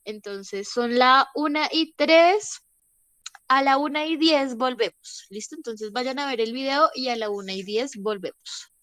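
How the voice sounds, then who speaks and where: A woman's voice: sits high, a bit bright, no room sound. A woman teacher giving instructions in an online class, nasal and congested from a cold, her voice sometimes fading, patient and full of fillers. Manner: patient, unhurried, with many fillers. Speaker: English teacher. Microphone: video call.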